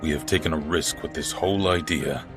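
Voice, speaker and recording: An actor playing a stern, blunt royal advisor in an audio drama, accusing in manner. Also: male voice, extremely low pitch, a little fast, music in the background. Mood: stern, angry.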